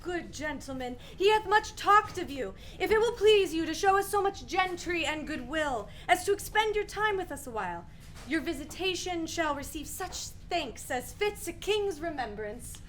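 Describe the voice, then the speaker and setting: A woman's voice: very high voice, very animated, normal speed, a bit bright, a little hiss or hum, heavily acted. An actress playing a gracious, courteous queen and concerned mother in a Shakespearean radio play.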